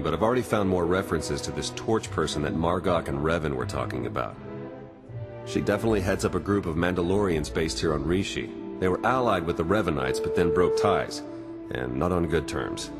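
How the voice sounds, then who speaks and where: Man acting male slicer sidekick, low voice, informal, practical, eager, video game, music behind. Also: fairly quick, close to an everyday voice. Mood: businesslike. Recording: loud music under the voice. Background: cinematic game music.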